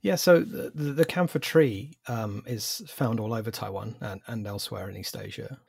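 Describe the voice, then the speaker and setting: Measured English man, forties, low husky voice, dry close recording. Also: darkish tone, no room sound. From England. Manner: measured, thoughtful, with fillers and restarts. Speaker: publisher, guest. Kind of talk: interview answers.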